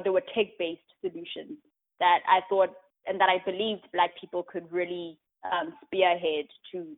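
Woman on a tinny line. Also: on the low side. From South Africa. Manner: thoughtful, many "um"s and "right?" tags. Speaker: guest, tech community co-founder. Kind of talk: casual interview talk.

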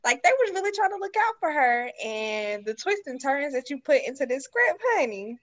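A woman's voice: high voice, very animated, normal speed, lo-fi sound. A woman being interviewed as a cast member, talking casually. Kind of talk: casual chat.

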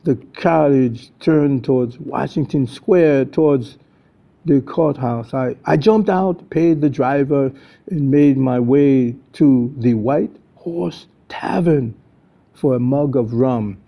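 A man's voice: mid-range voice, slow pace, gravelly. A man in his seventies playing a ship captain who recounts an errand ashore in a storybook monologue. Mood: recounting. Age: 70s. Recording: dull lo-fi audio.

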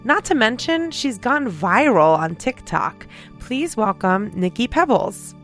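A woman's voice: fairly high pitch, very animated, a little slow, fairly bright tone, soft background music. Upbeat New woman co-host. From New York. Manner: upbeat, reads guest introductions. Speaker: podcast co-host. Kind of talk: podcast intro and chat.

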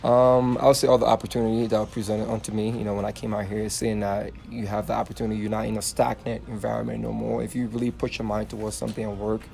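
A Liberian-accented man speaks as a podcast guest, his voice lowish with a gravelly, fry-laden texture. He is upbeat and friendly, peppering his talk with "you know". Faint room ambience hangs behind him. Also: little pitch movement, fast, few pauses, slight background noise. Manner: warm, upbeat, uses "you know" often. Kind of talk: casual interview chat. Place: bookstore children's section.

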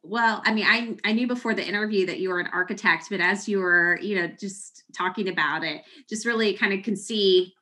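Host: woman pastor, bright voice, warm conversational interviewer, hedges with fillers. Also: mid-range voice, a little fast, hardly any pauses. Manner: friendly, hedging with you know and fillers. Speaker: executive pastor, podcast host. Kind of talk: hosting a church podcast interview.

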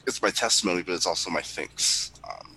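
A man giving a halting church testimony, pausing often with fillers. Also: low-pitched, huge pitch swings, vocal fry, bright, forceful tone, slight background noise, rough recording. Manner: hesitant, many pauses and fillers.

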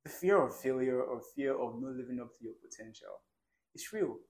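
A man's voice: medium pitch, animated, fairly quick, darkish tone, poor audio. A man co-hosting a podcast, talking earnestly and rambling, often checking that he is understood. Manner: earnest, rambling, keeps asking "do you understand". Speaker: podcast co-host. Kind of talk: casual podcast conversation.

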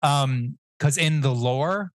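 A man's voice: medium pitch, slow pace, no room sound. A man, a guest comic writer, riffing through a playful improvised story in casual podcast chat. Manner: rambling, joking, acts out quoted lines.

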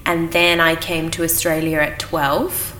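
Woman, thirties, from England, bright, lowish voice, chatty, roomy sound. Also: level tone, a little slow. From Kent, England. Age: 30s. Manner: chatty, self-mocking. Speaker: guest. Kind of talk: casual chat. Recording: roomy, with faint background hiss.

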